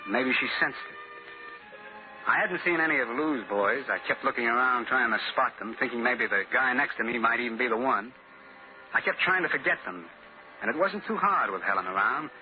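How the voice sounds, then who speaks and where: A man's voice: medium pitch, lively intonation, brisk, natural voice. An actor playing a wry, hard-boiled American man on the run in an old-time radio thriller, telling his story in clipped phrases. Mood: brooding, matter-of-fact. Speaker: actor playing narrator and lead. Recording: dull old radio recording with music.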